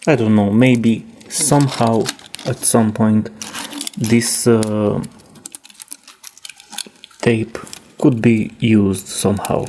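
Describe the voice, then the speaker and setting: Slow-talking man with a mellow voice. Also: fairly low pitch, steady tone, slight background noise. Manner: hesitant, halting, thinking aloud. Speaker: host demonstrating a cassette repair. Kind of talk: casual hands-on repair narration.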